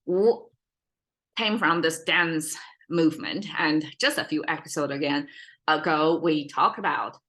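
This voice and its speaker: Casting: female language-lesson host, native Mandarin speaker with Chinese accent, slightly low bright voice, informal, meandering, checks in with listeners. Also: measured pace. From China. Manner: rambling, self-correcting, checks in with listeners. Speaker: language teacher, podcast host.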